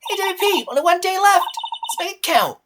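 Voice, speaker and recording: A woman voicing an excitable, perky young female assistant in a video game fandub, gushing and exclaiming. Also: very high voice, a little fast, heavy background noise, voice pushed up. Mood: cheerful.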